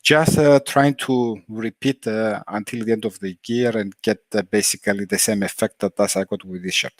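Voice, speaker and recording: A man with a lowish voice, a native Greek speaker raised in Germany, talks in English as a video-call guest. He chooses his words carefully and fills the gaps with small "uh" pauses. The sound is dry. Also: steady tone, no echo. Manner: careful, unhurried, many "uh" pauses. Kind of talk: casual video-call interview.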